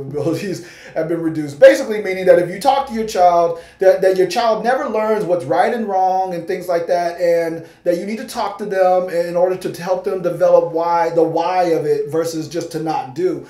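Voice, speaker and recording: High-voiced man in his forties, animated. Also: reverberant. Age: 40s. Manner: animated, gesturing. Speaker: sociology professor. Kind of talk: casual discussion. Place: small room, yellow wall.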